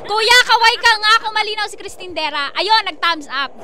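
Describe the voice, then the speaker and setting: Very high, animated Filipino woman radio DJ. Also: normal speed, noisy background. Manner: teasing. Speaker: radio DJ co-host. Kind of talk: playful casual radio banter. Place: live radio broadcast in Manila.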